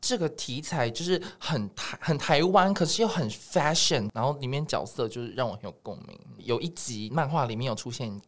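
A man's voice: mid-range voice, fairly quick, few pauses. Taiwanese guy guesting on a chat show, casual, voice swings up and down a lot. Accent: Taiwanese Mandarin. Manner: animated, breaks often into laughter. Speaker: podcast guest, music producer.

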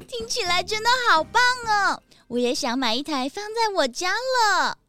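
A woman playing a cutesy, excitable young female celebrity with a girlish, gushing manner in a children's audio drama. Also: very high-pitched, unhurried, over music, full character voice. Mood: bubbly.

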